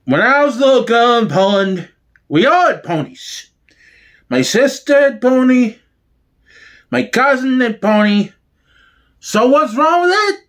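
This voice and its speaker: Man putting on an easily offended old immigrant woman, high-pitched. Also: big pitch swings, a little slow, long pauses, a bit bright, heavily acted. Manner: wounded, indignant, emphatic. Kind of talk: one-man dramatic sitcom reading.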